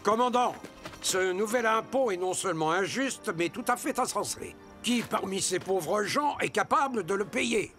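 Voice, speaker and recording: A stern older nobleman in a cartoon. The voice is raised and gravelly, earnest and righteous in its delivery. Music sits behind it. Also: sits high, expressive, normal speed, bright, forceful tone, exaggerated voice. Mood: indignant, righteous. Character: proud, indignant older nobleman, a stern father. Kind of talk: animated cartoon acting.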